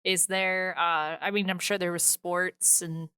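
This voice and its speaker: A woman's voice: on the low side, flat delivery, a little slow, pushed and bright, clear, resonant tone, no room sound, studio quality. A woman co-hosting a podcast in casual, playful and informal banter. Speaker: podcast co-host.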